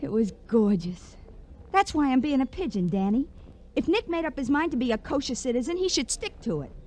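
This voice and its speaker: A woman's voice: high-pitched, big pitch swings, fairly quick, a little mellow. An actress playing a brash, wisecracking reformed shoplifter turned salesgirl, in an old radio crime drama. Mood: wistful, confiding. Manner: slangy, wry, breezy. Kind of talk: 1940s-50s radio crime drama acting. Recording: vintage radio recording with noise.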